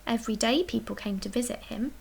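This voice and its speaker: A woman reading a children's picture book aloud as a storyteller, expressively, with dramatic emphasis and hushed, breathy dips. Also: fairly high pitch, fast. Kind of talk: expressive read-aloud bedtime story narration.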